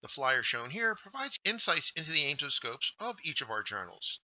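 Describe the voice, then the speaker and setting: A man presenting a scripted instructional workshop, with deliberate, emphatic phrasing. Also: on the high side, sing-song, sharp tone, rough recording. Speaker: workshop presenter, journal editor.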